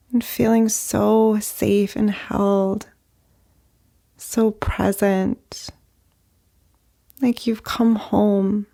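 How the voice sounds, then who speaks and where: Slow, creaky-voiced woman guiding meditation. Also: mid-range voice. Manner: gentle, unhurried, with long pauses between short phrases. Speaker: meditation guide, therapist. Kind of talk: guided meditation, slow and soothing narration.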